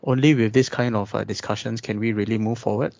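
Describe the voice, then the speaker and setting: A man talking through ideas in a casual discussion, measured and pausing often with ums. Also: lowish voice, steady tone, gravelly, a little mellow, dry recording. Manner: measured, thinking aloud with many ums. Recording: dull dry recording.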